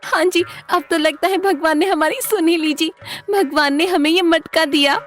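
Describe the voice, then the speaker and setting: A woman's voice: very high voice, steady tone, moderate pace, soft background music, voice pushed up. A woman voicing a dutiful, curious village wife in a cartoon story, with an eager, deferential manner. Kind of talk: Hindi cartoon story dialogue.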